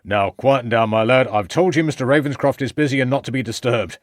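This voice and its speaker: A man's voice: medium pitch, quick pace, no echo, studio quality, natural voice. Man doing a blunt, disapproving Victorian constable. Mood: annoyed. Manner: blunt, grudging. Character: gruff, disapproving Victorian police constable, male.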